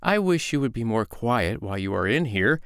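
A man voicing a calm, sly foster mother in a storybook, with a lilting, mock-innocent, needling way of talking. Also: mid-range voice, moderate pace, creaky, clean tone, no echo, studio quality. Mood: hospitable, hushing.

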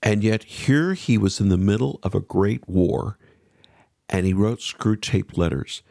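A man's voice: fairly low pitch, measured pace, gravelly, a little mellow, no room sound, clean studio sound. An American man hosting a radio interview programme, introducing things and putting questions to a guest.

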